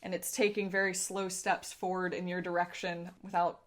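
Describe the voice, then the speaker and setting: A woman's voice: lowish voice, level tone, moderate pace. A woman running a tabletop game, narrating scenes descriptively and talking casually with players. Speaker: game master.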